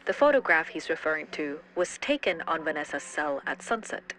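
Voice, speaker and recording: A woman's voice: deep voice, big pitch swings, a little fast, a bit bright, soft background music. An actress playing a female true-crime reporter who gives scripted, serious narration. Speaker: narrator and interviewer.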